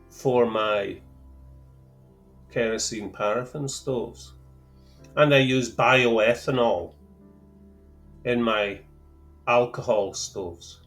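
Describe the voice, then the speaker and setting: A man with a Scottish accent explaining fuel terms to camera in a careful, deliberate way, with a lightly wry touch. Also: mid-range voice, a bit bright. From Scotland. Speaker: outdoor gear channel host. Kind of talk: measured explanatory talk to camera.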